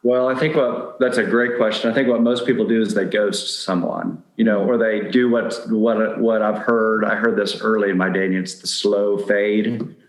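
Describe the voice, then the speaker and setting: A man's voice: lowish voice, steady tone, normal speed. A man in his forties, a podcast guest, giving dating advice in a conversational style. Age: 40s. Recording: echoey, roomy sound.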